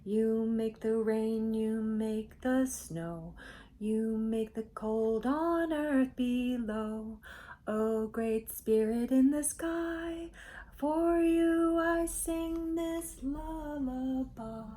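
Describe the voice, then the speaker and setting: A woman storyteller plays a brave and beautiful crow from a storybook. The bird sings sweetly in long, drawn-out notes, pitched somewhat high. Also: dark tone, faint music behind. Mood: reverent. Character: brave, sweet-voiced rainbow crow singing to the sky. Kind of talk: sung storybook character voice.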